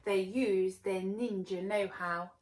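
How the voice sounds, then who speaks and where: Unhurried woman teacher reading to young children. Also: medium pitch. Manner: expressive, addressing small children. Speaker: early years teacher. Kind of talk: storytelling read-aloud for young children.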